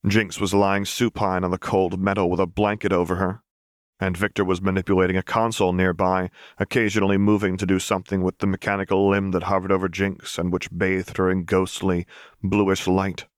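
A man's voice: low voice, level tone, a little fast, no echo, clean studio sound. A man reading scripted fiction as an audiobook narrator.

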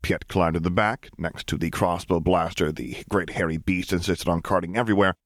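A man's voice: sits low, fairly even intonation, a little fast, no echo, studio quality. A man reading scripted fiction aloud as an audiobook narrator.

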